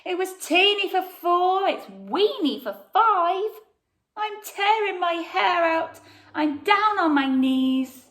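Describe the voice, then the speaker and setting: A woman voicing a flustered, dramatic little old lady from a children's picture book, wailing and pleading with drawn-out exclamations. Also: extremely high pitch, expressive, a bit bright, pitched above the performer's own voice, exaggerated voice. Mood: dramatic distress. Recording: roomy, echoey sound.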